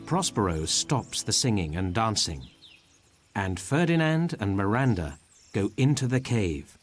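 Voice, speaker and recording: A man's voice: on the low side, very animated, unhurried, soft background music. A man narrating a read-along story for beginners.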